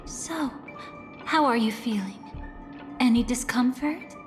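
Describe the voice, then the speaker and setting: An actress playing a composed, enigmatic woman who guides a listener through memories in a video game, speaking in a measured, cryptic way. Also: a little high. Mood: composed, mysterious. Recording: long reverb under clear music.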